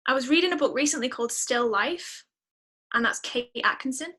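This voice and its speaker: A woman chatting casually as a guest in an interview, answering in an enthusiastic, expressive way. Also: sits high, a little fast. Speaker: podcast guest; musician.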